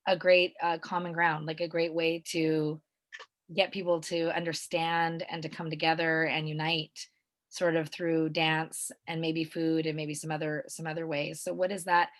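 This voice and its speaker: Interviewer: woman, pitched a little low, casual and enthusiastic conversational delivery. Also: little pitch movement, normal speed.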